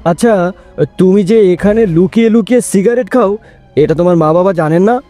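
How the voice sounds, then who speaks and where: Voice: mid-range voice, moderate pace, warm tone, lightly acted. A performer voicing an earnest, pious young boy in a moral cartoon. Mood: puzzled, shocked. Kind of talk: cartoon voice acting. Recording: music behind the voice. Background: background music.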